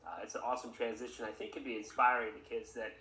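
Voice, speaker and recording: A man's voice: medium pitch, flat delivery, quick pace, pushed and bright. A man, a teacher hosting a podcast, encouraging his guest and asking questions in a chatty way. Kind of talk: conversational interview. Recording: echoey room.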